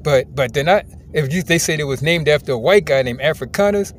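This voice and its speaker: A man's voice: fairly high pitch, lively intonation, a little fast. An American man, the video's host, asking questions in casual conversation inside a car, restarting his words often. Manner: inquisitive, conversational, repeats words when starting. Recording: faint car noise.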